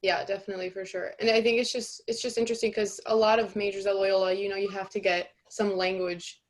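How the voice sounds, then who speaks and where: Flat-toned young woman interviewer. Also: mid-range voice, fast. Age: young adult. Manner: relaxed, filler-heavy, uses like and you know. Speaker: student interviewer. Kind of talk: casual panel conversation.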